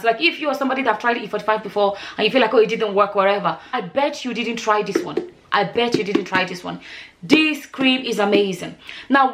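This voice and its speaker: A woman, talking straight to camera in a casual vlog, earnest and repeating herself for emphasis. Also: on the high side. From Nigeria. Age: 40s. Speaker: vlogger.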